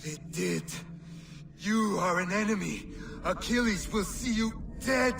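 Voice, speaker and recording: An actor playing a bitter, dying warrior in a video game, defiant, with a strained, gravelly voice. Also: male voice, sits high, lively intonation, takes it slowly, far from the mic, background noise, heavily acted. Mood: bitter defiance. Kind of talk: dramatic video game acting.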